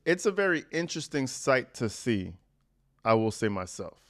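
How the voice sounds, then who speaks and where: A man's voice: medium pitch, big pitch swings, moderate pace. A man hosting a reaction stream, giving casual, opinionated commentary in a blunt, profane, conversational way. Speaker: host of a reaction livestream.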